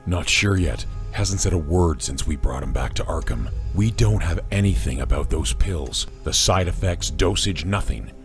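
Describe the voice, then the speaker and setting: A man's voice: sits low, lively intonation, normal speed, faint music behind. A man voicing a weary, guarded older police commissioner in a comic fan dub. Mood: worried.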